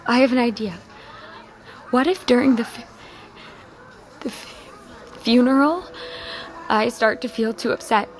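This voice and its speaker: An American woman playing an earnest, grieving teenage high school girl in an audio drama, speaking haltingly and emotionally. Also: faint music behind, close to an everyday voice, low-quality recording. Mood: urgent, determined. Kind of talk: naturalistic audio drama dialogue.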